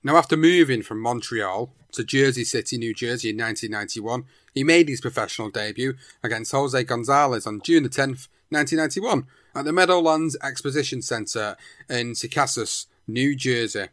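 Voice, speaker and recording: A man narrating a boxer's life story in a measured storytelling manner. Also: medium pitch, fairly bright tone, no echo, clean studio sound. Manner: measured storytelling, sentences opened with "now". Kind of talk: documentary narration.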